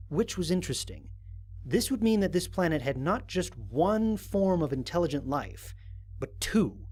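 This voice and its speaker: A man performs an analytical human explorer narrating a mission log for an audio drama. His pitch moves in lively rises and falls, while his wording stays formal and precise. He is measured and scientific, with a dry, wry streak. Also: breathy edge. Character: analytical, dryly wry human explorer logging first contact.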